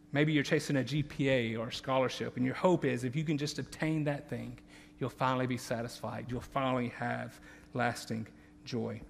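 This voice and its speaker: Southern pastor in an echoing church hall. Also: male voice, medium pitch, a bit bright, a little hiss or hum. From Louisiana. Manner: conversational, earnest, many fillers and repeats. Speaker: campus pastor. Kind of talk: sermon. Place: large church auditorium. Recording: long reverb of a large church hall.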